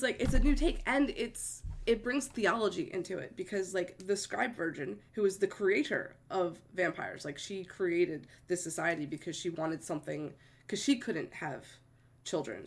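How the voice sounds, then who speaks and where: A woman's voice: fairly low pitch, husky. Congested woman host, chatty and upbeat. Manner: chatty, enthusiastic, laughs easily. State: congested from a cold. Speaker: podcast host. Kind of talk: casual chat.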